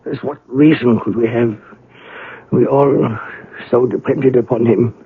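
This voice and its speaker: An actor playing a proper, deferential butler in a 1970s radio mystery play, speaking formally and theatrically. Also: male voice, mid-range voice, measured pace, husky. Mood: insistent, reassuring. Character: male household butler, deferential, proper and anxious to reassure. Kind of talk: 1970s radio drama acting. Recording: muffled narrowband old radio broadcast.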